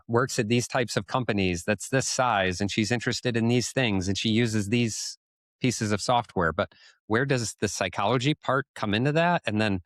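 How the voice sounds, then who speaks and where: A man's voice: on the low side, fairly bright tone, no room sound, clean studio sound. A man co-hosting a podcast, thinking aloud in long conversational sentences that lead into questions. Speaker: podcast co-host. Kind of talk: conversational commentary leading into questions.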